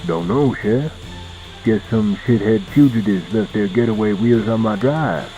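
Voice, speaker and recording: A man acts out a sly old backwoods man in an audio drama. His voice is low and dark, and he drawls in a folksy, mocking way over faint music. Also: normal speed, natural voice, rough recording. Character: sly old rural man taunting fugitives.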